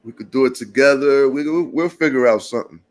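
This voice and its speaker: A man, chatting casually to passersby and viewers in a relaxed morning vlog, calling everyone brother and man. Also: mid-range voice, brisk. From Puerto Rican family. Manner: laid-back, slangy, calls people brother and man. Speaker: vlogger.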